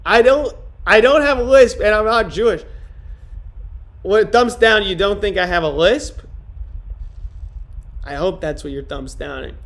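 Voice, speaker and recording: A man's voice: high-pitched, a bit bright. A young man from upstate New York chatting casually on a live stream, rambling and swearing as he answers chat comments. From Rochester, New York.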